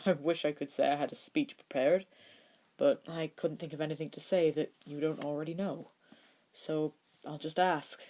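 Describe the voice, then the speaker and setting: A woman's voice: low-pitched, steady tone, normal speed, warm tone. A woman voicing a wry, composed, tender man in an acted fan fiction reading. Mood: earnest, nervous.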